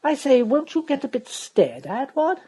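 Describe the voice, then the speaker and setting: A woman voicing a silly young upper-class gentleman who chatters and tags his remarks with "what?" and "I say". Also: high voice, very animated, moderate pace, pitched above the performer's own voice. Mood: blustering.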